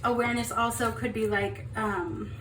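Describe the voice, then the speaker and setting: A young woman giving a casual tarot reading, chatty and upbeat with lots of fillers, her voice slightly hoarse. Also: fairly high pitch, flat delivery, normal speed, fairly bright tone, far from the mic, slight background noise. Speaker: tarot reader. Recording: roomy echo, off-mic.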